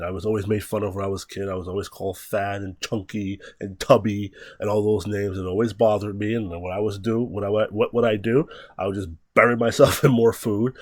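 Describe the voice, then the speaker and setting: A man's voice: fairly low pitch, a little mellow, no echo, clean studio sound. A man in his thirties hosting a podcast, talking casually and candidly, thinking aloud with many fillers. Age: 30s. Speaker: podcast host. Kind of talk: casual solo commentary.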